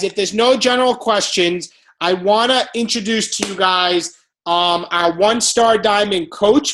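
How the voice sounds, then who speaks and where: A man's voice: sits high, a bit bright. Host type: man running a team call over video, peppy, motivational. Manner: upbeat, motivational. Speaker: team leader hosting a call.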